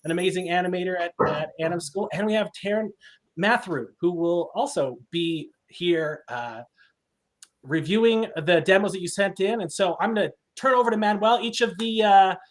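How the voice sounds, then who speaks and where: A man's voice: sits high, normal speed. Upbeat man hosting a livestream. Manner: energetic, enthusiastic. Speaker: host.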